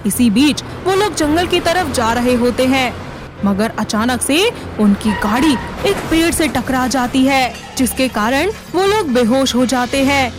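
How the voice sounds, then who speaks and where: A woman reading a scripted story narration for an animated moral tale. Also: sits high, a little slow. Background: background music.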